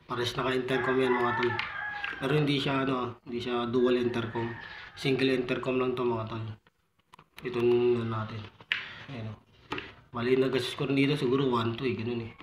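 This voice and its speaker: A man's voice: mid-range voice, level tone, normal speed, long pauses. A Filipino man giving a casual, informal vlog-style product walkthrough, talking to viewers like buddies. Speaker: vlogger reviewing motorcycle gear. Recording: echoey room with clear background noise.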